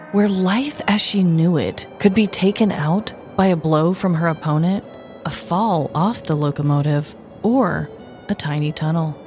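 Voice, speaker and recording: A woman co-hosting a podcast with an expressive, dramatic way of talking, both bantering and telling stories. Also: on the low side. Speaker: podcast co-host. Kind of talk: casual banter and scripted comic storytelling.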